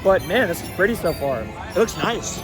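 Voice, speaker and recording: Hoarse, excitable young man vlogging. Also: high voice, moderate pace, over music. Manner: excitable, chatty, lots of ums and likes. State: losing his voice, hoarse. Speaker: vlogger host. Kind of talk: casual vlog walkthrough. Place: outdoors at an amusement park.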